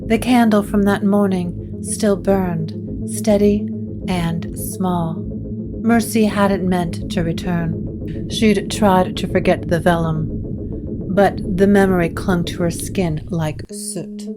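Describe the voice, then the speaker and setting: Female gothic narrator: unhurried, measured scripted read, medium pitch, clear music bed. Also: fairly even intonation.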